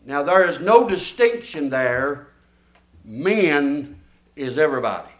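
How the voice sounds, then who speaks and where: A man's voice: on the high side, lively intonation, slow pace. A man, preaching a folksy, emphatic sermon and drawing responses from his congregation. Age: 70s. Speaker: pastor. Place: church. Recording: echoey church room.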